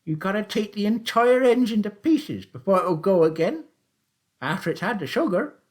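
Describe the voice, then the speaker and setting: A gleeful old man behind the wheel of a taxi, his voice jumping high and loud with excitement, put on by a male narrator. He chatters in a rustic, chortling way, his pitch swooping all over the place. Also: moderate pace. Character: old man, cheerful chuckling taxi driver.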